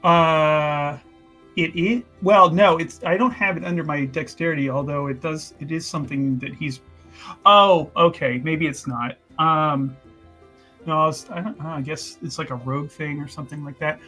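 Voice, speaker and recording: A man chatting casually as a player in a tabletop game, jokey and quick to laugh. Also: a little high, steady tone, sharp tone, faint music behind.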